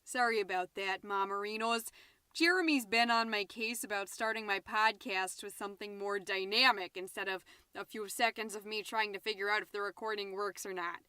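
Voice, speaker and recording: A woman's voice: mid-range voice, steady tone, normal speed, pushed and bright, smooth, no room sound, studio quality, close to an everyday voice. A woman playing a chatty, scatterbrained, overexcited middle-aged suburban mom who hosts a comedy podcast, rambling, interrupting herself and stressing words theatrically. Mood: chirpy, self-satisfied. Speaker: podcast host. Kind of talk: comedic character monologue as a homemade podcast.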